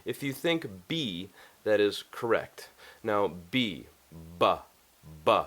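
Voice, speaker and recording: A man teaching English pronunciation in an instructional style, explaining things deliberately and step by step. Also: lowish voice, very animated, a bit bright. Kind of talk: instructional lesson to camera.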